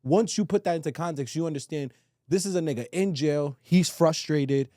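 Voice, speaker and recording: A man's voice: on the high side, moderate pace, mellow, no echo, clean studio sound. A man talking casually and with opinions as a podcast co-host, slangy and emphatic, swearing and repeating phrases for weight.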